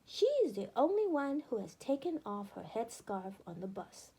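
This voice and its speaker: A woman narrating a children's book aloud in an expressive, deliberate storytelling manner with emphatic phrasing. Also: fairly high pitch, breathy edge, low-quality recording.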